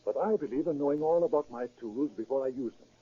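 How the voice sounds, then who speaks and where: An actor playing an earnest, disillusioned preacher turning to crime in a 1930s radio drama, speaking deliberately and precisely. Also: male voice, mid-range voice, slightly rough, lightly acted. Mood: methodical, determined. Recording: muffled, scratchy 1930s radio recording.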